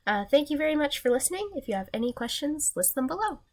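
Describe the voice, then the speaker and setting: A woman's voice: high voice, very animated, fast talker, darkish tone. A young woman with a nasal voice, just over a sinus infection, earnestly giving a recorded class presentation from her slides. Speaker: student presenter.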